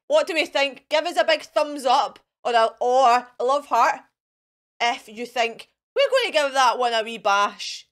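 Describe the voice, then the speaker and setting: A Scottish woman hosting a children's show in a chatty, encouraging way, with simple repeated phrases and questions to the young viewers. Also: on the high side. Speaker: children's storytime host. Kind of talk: chatty children's craft and activity presenting to camera.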